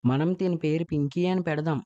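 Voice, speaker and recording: A woman playing a hurried but good-natured father in a cartoon story, mildly impatient. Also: low-pitched, steady tone, warm tone, dull audio, lightly acted. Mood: impatient, affectionate.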